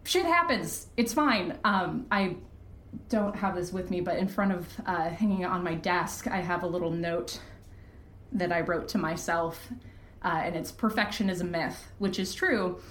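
A woman chatting casually as a podcast guest, candid and self-deprecating, quick to laugh. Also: fairly low pitch, a bit bright, a little hiss or hum. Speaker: podcast guest, fiction podcast creator and writer. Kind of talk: casual interview chat. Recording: roomy, echoey sound.

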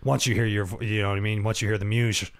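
A young man talks in a loose, relaxed way, full of "dude" and "you know what I mean". His speaking voice sits low and deep. He sounds like a musician hosting a casual chat. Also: fast talker, crowd noise. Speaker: podcast host and band singer.

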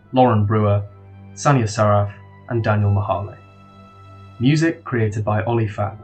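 Low-pitched man reading podcast credits. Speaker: credits announcer. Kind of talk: scripted credits read.

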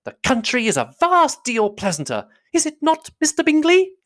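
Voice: sits high, wildly swooping pitch, moderate pace, fairly bright tone, pitched above the performer's own voice, full character voice. A reader voicing a fussy, effusive middle-aged mother who is easily offended, with a gushing, emphatic manner. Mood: indignant, triumphant.

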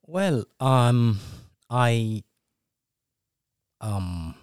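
A man's voice: mid-range voice, lively intonation, very slow, darkish tone, clean tone, no echo, clean studio sound. A middle-aged man from Ethiopia, a guest on a church podcast, talking casually with many fillers and frequent laughs. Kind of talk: casual interview chat.

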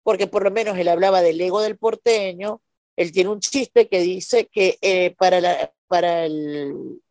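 A woman's voice: lowish voice, unhurried, a little mellow, dry recording. A woman with a Venezuelan accent from Maracaibo, talking as a podcast guest in a conversational, explanatory way and often checking that she is understood. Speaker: podcast guest, stand-up comedian. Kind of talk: conversational interview storytelling.

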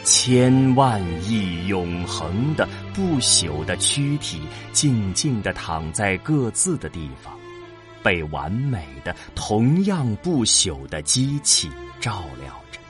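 Male narrator for sci-fi radio drama. Deep, solemn, deliberate delivery with wide dramatic swings. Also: big pitch swings, music in the background. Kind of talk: dramatic scripted science fiction narration.

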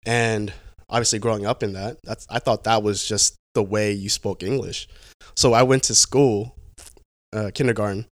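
A young man speaks in a low voice, easygoing but halting, restarting phrases and leaning on fillers as he tells his story. The sound is dry and close, with no room behind him. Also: steady tone, no room sound. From Pacific Northwest, United States. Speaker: guest. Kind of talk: casual conversational interview.

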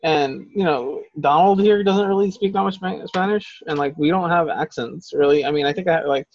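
Guy cohosting a podcast, kinda high voice, swears a lot, rambles, dull dry sound. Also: normal speed, darkish tone. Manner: profane, rambling, heated. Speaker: podcast co-host. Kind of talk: casual podcast chat.

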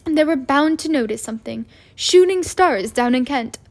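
Woman doing a strict snappy witch teacher, high and loud, impatient. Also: lively intonation, normal speed. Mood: irritable. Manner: clipped, sharp, impatient.

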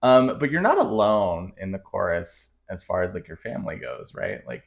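A man's voice: sits low, wildly swooping pitch, vocal fry. A man co-hosting a podcast in a playful, teasing way as he asks a guest questions. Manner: playful, teasing, quick to laugh. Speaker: podcast co-host. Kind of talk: casual interview chat.

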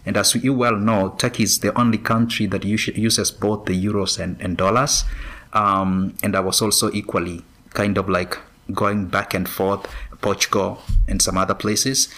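A man from Kenya, a former professional runner, answering interview questions in a measured way with frequent pauses. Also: lowish voice, flat delivery, a bit bright. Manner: measured, many pauses and ums.

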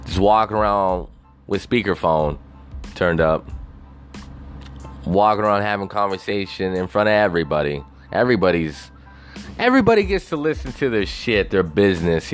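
A man on a casual, rambling rant, swearing constantly and leaning on "you know" fillers. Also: lowish voice.

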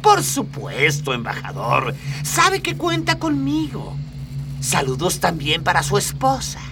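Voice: mid-range voice, huge pitch swings, gravelly, far from the mic, noisy background, exaggerated voice. A performer playing a haughty, grandiose lord in a radio drama. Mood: grandly courteous. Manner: grandiose, drawn-out, swooping delivery. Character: theatrical, haughty lord addressing an ambassador and servant.

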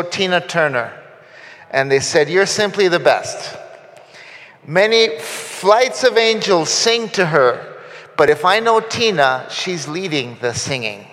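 A man's voice: a little high, a bit bright, big-room sound. A man from Costa Rica giving a conversational sermon, with frequent fillers and restarts. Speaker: guest preacher. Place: church sanctuary.

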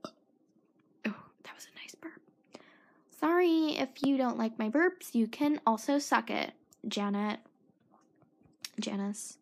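A woman's voice: fairly high pitch, slow, creaky, pauses often. A teenage girl hosting her own podcast, rambling and self-mocking, with a sore throat and a voice that drops into whispered asides. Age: teens. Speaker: podcast host. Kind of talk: rambling solo podcast chat.

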